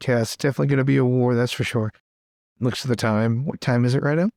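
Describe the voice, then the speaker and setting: A man's voice: mid-range voice, steady tone, quick pace, gravelly, darkish tone, dry recording, clean studio sound. A man playing a tabletop roleplaying game, chatting and switching between narration and in-character dialogue.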